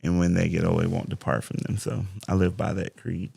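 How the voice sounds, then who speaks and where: Forties male life coach, very low gravelly voice, dry close sound, thoughtful and faith-driven. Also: level tone, fairly quick, warm tone, no room sound. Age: 40s. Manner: reflective, faith-driven talk. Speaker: interview guest, life coach. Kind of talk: casual interview conversation.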